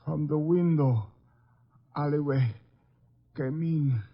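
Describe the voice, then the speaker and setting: An actor playing a mortally wounded man gasping in broken phrases, with a gravelly, husky voice, in vintage radio drama style. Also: on the high side, takes it slowly, heavily acted. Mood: weak, gasping. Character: dying shot man, gasping out clues. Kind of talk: 1950s radio detective drama acting. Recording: dull, lo-fi vintage radio recording.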